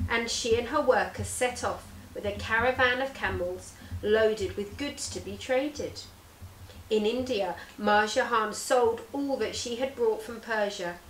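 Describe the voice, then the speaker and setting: A woman in her thirties reads a children's picture book like a teacher. Her voice sits fairly high, with deliberate, theatrical phrasing. The room adds a noticeable echo. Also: a bit bright, distant mic, a little hiss or hum. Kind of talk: expressive children's storytelling. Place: living room sofa, indoors. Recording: roomy indoor echo.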